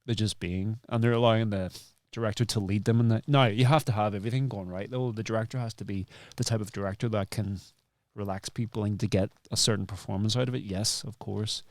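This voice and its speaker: Guy hosting a podcast interview, lowish voice, really dry sound, kinda halting and repeats himself as he thinks. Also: steady tone, no room sound. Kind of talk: reflective interview conversation.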